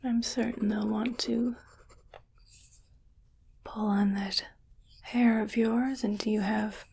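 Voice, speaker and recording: A woman voicing a haughty, fussy alien collector in a breathy, whispered ASMR roleplay, with a condescending manner. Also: medium pitch, fairly even intonation, a little mellow, a little hiss or hum. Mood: condescending, stern. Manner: slow, deliberate, condescending.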